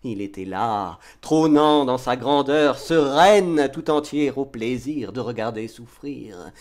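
Slow, declamatory man reciting poetry. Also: medium pitch, animated. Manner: declamatory, impassioned, swelling from hushed to forceful. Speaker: poetry reader. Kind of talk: dramatic French poetry recitation.

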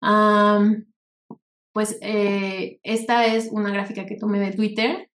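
A woman's voice: mid-range voice, little pitch movement, slow pace. A woman with a Mexican Spanish accent giving an informal, explanatory lecture over slides, conversational and often checking in with rhetorical questions. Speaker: workshop instructor. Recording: echoey room sound.

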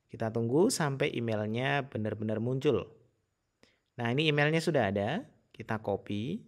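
A man's voice: medium pitch, moderate pace. A man presenting a casual step-by-step tutorial in an instructional manner.

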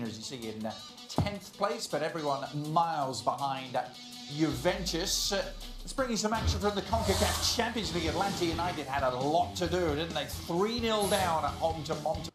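Sports host, man, fairly high voice, brisk, roomy studio, music under. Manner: brisk, leads the panel with questions. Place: TV studio with round desk.

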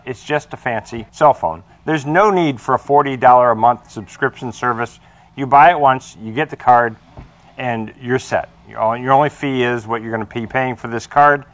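American guy in his 50s, medium pitch, explaining a product in plain casual talk. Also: fairly bright tone. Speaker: product presenter. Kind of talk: informal product demonstration. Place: small indoor workshop or showroom.

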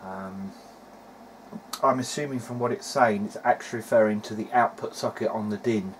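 Male hobbyist presenter, lowish pitch, casual and hesitant technical walkthrough, slight background noise. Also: fairly even intonation, normal speed, rough recording. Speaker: hobbyist presenter of an electronics repair video diary. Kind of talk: casual technical narration while demonstrating.

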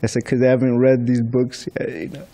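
A young man from the Philippines leads an informal class in a large temple hall, speaking into a stand microphone. He talks in a chatty, storytelling way with a mellow, relaxed tone. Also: medium pitch, fairly quick. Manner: chatty, storytelling with light laughs. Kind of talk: informal scripture class talk. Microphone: stand mic in front of seated speaker.

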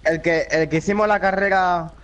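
A man's voice: sits high, fairly even intonation, normal speed, fairly bright tone. A young man with a Spain Spanish accent chatting in an informal, slangy way as a gaming partner. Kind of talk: casual chat while gaming.

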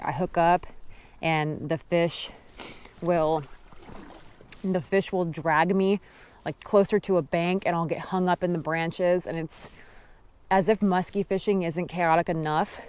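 A woman in her thirties giving a casual, practical how-to talk to a handheld action camera on a river gravel bank, firm when stressing safety rules. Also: lowish voice. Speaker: fishing vlogger. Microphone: action camera held at arm's length.

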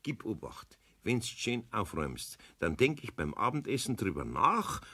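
Kindly old bavarian craftsman, husky but bright, folksy, kids radio play. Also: on the low side, big pitch swings, a little slow, exaggerated voice. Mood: fond, patient. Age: older. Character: kindly, wily old male master craftsman. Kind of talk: German children's audio drama acting.

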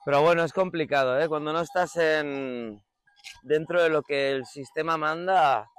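A man's voice: a little high, moderate pace, clean tone, soft background music. A man from Barcelona with a Catalonia Spanish accent, a shepherd talking casually about his own life in an interview. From Barcelona, Spain. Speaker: shepherd, livestock farmer. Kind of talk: casual interview answers, personal storytelling.

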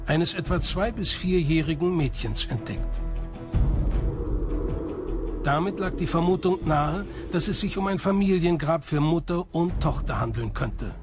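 A man's voice: on the high side, expressive, measured pace. A man reading scripted documentary narration as the narrator of the film. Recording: music behind the voice. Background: music.

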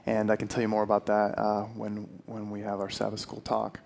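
A man's voice: lowish voice, monotone, brisk, vocal fry, a little mellow, a little hiss or hum. A young man in his twenties from Puerto Rico, giving a friendly, earnest church talk in a hall. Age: 20s. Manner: friendly, earnest, some restarts. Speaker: guest speaker. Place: church hall with stone fireplace. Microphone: handheld mic, then headset.

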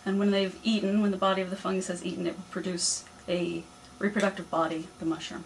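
A young woman farmer answering interview questions in a small cluttered office, calm and plain, explaining step by step. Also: on the low side, quick pace, vocal fry, slight background noise, low-quality recording. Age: young adult. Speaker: mushroom and poultry farmer.

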